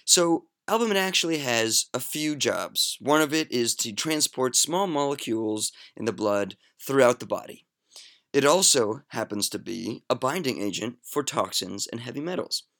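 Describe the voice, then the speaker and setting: A man's voice: medium pitch, animated, normal speed, a bit bright. A young man hosting a podcast, explaining facts in a chatty, joking way with lots of fillers and asides. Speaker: podcast host. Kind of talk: casual educational monologue.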